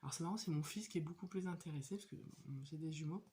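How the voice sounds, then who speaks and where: A woman in her thirties with a cold, chatting casually and hesitantly about her crafting hobby, with many pauses and fillers. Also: deep voice, warm tone, slight background noise. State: has a cold. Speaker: hobby vlogger. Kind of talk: casual show-and-tell chat.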